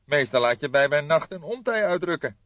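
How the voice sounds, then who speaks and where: Man doing a loud, nonchalant police photographer. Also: a little high, expressive, fairly quick, dry recording, lo-fi sound, voice pushed up, close to an everyday voice. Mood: nonchalant, teasing. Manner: jokey, gruff, nonchalant. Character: nonchalant male police photographer with morbid humour.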